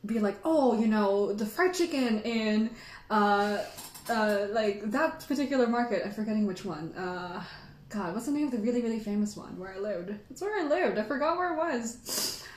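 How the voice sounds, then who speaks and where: A woman host telling stories casually to livestream viewers, animated and rambling, peppering her sentences with "like". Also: fairly high pitch, normal speed, slight background noise. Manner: animated, rambling, giggly, frequent "like". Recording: echoey room.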